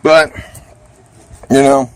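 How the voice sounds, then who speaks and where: A man's voice: medium pitch, flat delivery, very slow. A man chatting casually and unscripted as he reviews gear, rambling bluntly with salty slang, outdoors under a carport. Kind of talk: unscripted casual product chat. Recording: noisy outdoor recording with ambient hum.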